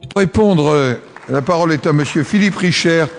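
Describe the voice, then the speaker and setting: A man's voice: a little high, normal speed, vocal fry, slight background noise. A man making formal procedural announcements as the chair of a parliamentary session. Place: parliamentary chamber.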